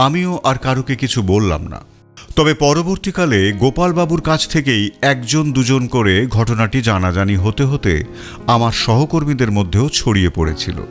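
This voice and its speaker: Male audiobook narrator for detective fiction, mid-range voice, animated melody, measured pauses, light music bed underneath. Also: medium pitch. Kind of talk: scripted first-person detective story narration.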